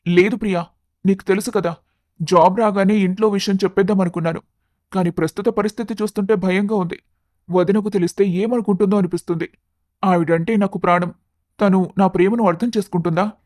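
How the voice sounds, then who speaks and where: A man acts out an affectionate but anxious young man in an animated moral story. The voice sits high for a male and sounds very dry, with an earnest, confiding delivery. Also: brisk, no room sound, lightly acted.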